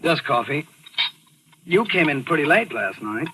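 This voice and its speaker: A man's voice: a little high, big pitch swings, a little slow, slight background noise, heavily acted. An American actor playing a bitter, jealous husband narrating his story in a controlled, clipped way in a 1940s radio mystery. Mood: guarded, probing. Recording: old radio broadcast with loud music.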